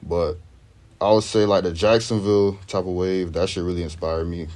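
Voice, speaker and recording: A man's voice: sits low, fairly even intonation, moderate pace, darkish tone. A young man from Sweden, a rapper, chatting in a laid-back, slangy way as the interview guest. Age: young adult. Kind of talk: casual interview chat.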